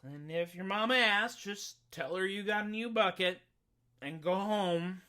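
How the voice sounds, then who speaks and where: A storyteller voicing the sheriff's daughter, a patient, weary young woman who talks slowly and coaxingly, as to a child. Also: mid-range voice, sharp tone. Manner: slow, coaxing, talking to him as to a child.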